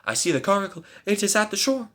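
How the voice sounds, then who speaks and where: A man voicing a terrified young noblewoman in a dramatic gothic reading, pleading in a strained falsetto. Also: sing-song, fast, fairly bright tone. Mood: horrified. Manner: pleading, breathless cries.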